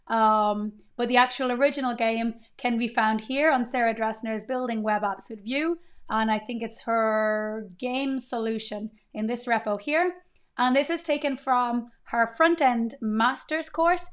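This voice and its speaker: A woman in her thirties hosting a casual live coding stream, chatty and upbeat, greeting viewers as she goes, her voice slightly strained while it recovers. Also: on the high side. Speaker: livestream coding host.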